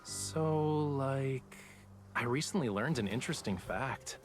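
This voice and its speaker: A man's voice: medium pitch, lively intonation, unhurried, over music, close to an everyday voice. An actor playing a playful young male love interest in a romance game, talking in a coy, teasing drawl.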